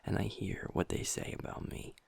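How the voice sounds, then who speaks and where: A man voicing a troubled young werewolf who is his pack's second in command, speaking softly and hushed, swearing and criticizing himself in an intimate audio roleplay. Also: moderate pace, no room sound, lightly acted. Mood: frustrated. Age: young adult.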